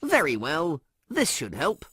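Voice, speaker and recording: An officious medical machine with a salesman's patter, acted out by a man reading aloud. The voice is a little rough and swings widely in pitch, delivering formulaic announcements in a dry, close recording. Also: huge pitch swings, unhurried, a bit bright, dry recording, exaggerated voice. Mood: smug, salesman-like.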